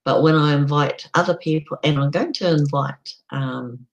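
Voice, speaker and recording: A middle-aged woman with a croaky voice, hosting a casual solo live stream, chatty and unhurried with frequent ums. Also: deep voice, dull audio.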